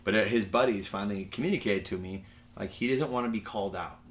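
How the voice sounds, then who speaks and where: Low-voiced American man, informal lecturer. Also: fast. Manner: informal, digressive, anecdotal. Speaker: university lecturer. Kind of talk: casual conversational lecture.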